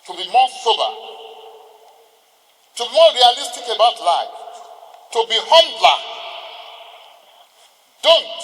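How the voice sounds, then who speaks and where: An older man with a Nigerian accent gives a formal ceremonial speech, exhorting his listeners deliberately with emphatic pauses. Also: high-pitched, a bit bright. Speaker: senior lawyer addressing a ceremony. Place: large indoor courtroom. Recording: reverberant hall with long echo.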